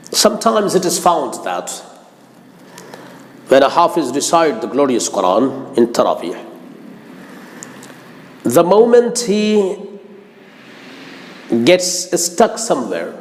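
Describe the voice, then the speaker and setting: Slow, high-pitched man preaching. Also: sing-song. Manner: deliberate, emphatic, repeats phrases. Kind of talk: instructive religious lecture. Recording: long hall-like reverb.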